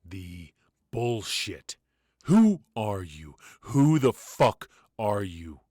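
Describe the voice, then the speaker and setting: A man acting a ruthless, clever mafia boss in a noir ASMR roleplay, husky, deliberate and mockingly polite in his menace. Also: on the low side, big pitch swings, darkish tone, no echo, studio quality, full character voice. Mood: furious.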